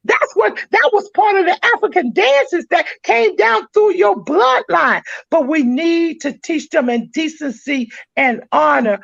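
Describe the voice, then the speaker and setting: A woman hosting a church-minded talk show, speaking with fervent, preacher-like passion that climbs to shouted exhortation. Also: sits high, creaky, no echo. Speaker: podcast host. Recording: dry, dull lo-fi sound.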